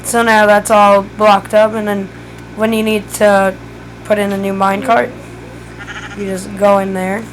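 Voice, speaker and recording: A woman walks through a game build in a relaxed, think-aloud way, her voice worn and going hoarse. She speaks in an even, level tone with little rise and fall. A steady noisy hiss sits under the recording. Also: medium pitch, a little slow. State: losing her voice. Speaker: gaming tutorial creator. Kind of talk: casual step-by-step game tutorial.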